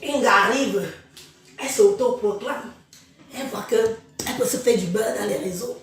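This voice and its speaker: A woman holds forth in a scornful, preachy live-stream rant and speaks straight to her viewers. Her high voice sounds stuffed up from a head cold. She sits well back from the mic in a room full of echo. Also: moderate pace, faint music behind. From Cameroon. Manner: scornful, preachy, addresses listeners directly. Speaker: social media live streamer. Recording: very echoey room, far from mic.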